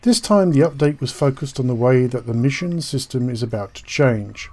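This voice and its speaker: A man's voice: medium pitch, lively intonation, moderate pace, warm tone, slight background noise. A man giving scripted game news commentary as a gaming commentator, articulate and formal in his wording.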